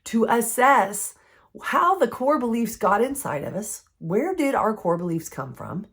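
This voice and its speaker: A woman with a froggy, hoarse voice from recent illness, giving an earnest, conversational faith-based health teaching. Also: fairly high pitch, sing-song, a little slow, a bit bright. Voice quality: froggy, strained voice.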